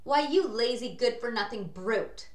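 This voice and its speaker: A woman's voice: a little high, expressive, measured pace, echoey room. Woman putting on a screechy, cruel scolding aunt. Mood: angry, screeching. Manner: scolding, harsh.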